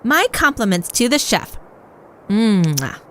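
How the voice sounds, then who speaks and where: A woman's voice: fairly high pitch, very animated, slow, very noisy. A woman voicing a picky female troll with a prim, refined manner in an audio drama. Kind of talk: fan audio drama acting.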